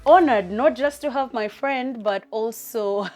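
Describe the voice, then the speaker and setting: A woman's voice: a little high, measured pace, a little mellow, soft background music. A woman hosting a talk show, introducing and teasing her guest with a playful, laughing manner. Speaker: host.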